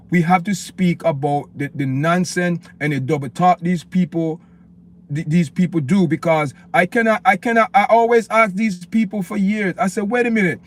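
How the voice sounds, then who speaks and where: A man from Jamaica with a Jamaican accent, ranting passionately on a livestream to his viewers and repeating his points. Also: a little high. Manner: impassioned, repetitive, preachy, addresses viewers as "people". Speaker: livestream host. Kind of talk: opinionated live-stream rant.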